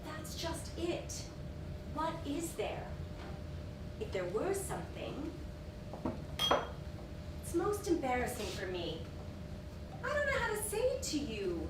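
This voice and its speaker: A woman acting an agitated, earnest society lady in a stage play, with halting, emphatic delivery. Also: high-pitched, huge pitch swings, full character voice. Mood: uneasy, hesitant. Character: Diana, agitated earnest society woman, indignant when accused. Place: theatre stage. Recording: roomy, distant, noisy theatre stage recording.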